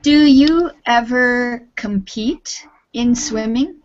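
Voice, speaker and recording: Slow, clear woman teaching online. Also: a little high, background noise. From Canada. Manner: slow, clear teacher talk with frequent laughs. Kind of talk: friendly online class chat. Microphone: computer microphone on a video call. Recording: muffled lo-fi online call audio.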